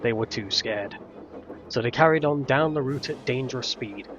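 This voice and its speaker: A man narrating a scripted storybook tale in measured phrases with dramatic emphasis. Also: medium pitch, highs cut off, noisy background.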